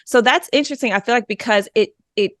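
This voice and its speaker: A woman's voice: a little high, quick pace, no echo. A woman hosting a podcast, chatting in a bubbly, effusive way and gushing over her guest. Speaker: podcast host. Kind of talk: casual interview conversation.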